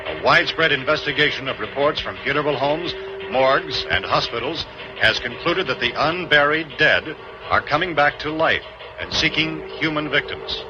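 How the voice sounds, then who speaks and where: A man's voice: a little high, lively intonation, normal speed, music in the background, lightly acted. An actor with an American accent playing a sober, formal 1960s newsman delivering grave bulletins. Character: grave old-time newsman announcing the walking dead. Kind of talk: 1960s film newscast. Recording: dull old film broadcast audio.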